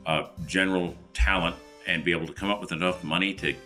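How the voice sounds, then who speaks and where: A man with a deep but bright, forceful voice plays a tabletop game. He talks casually, with faint music behind him. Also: steady tone, fast talker. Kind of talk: casual character introduction and table talk.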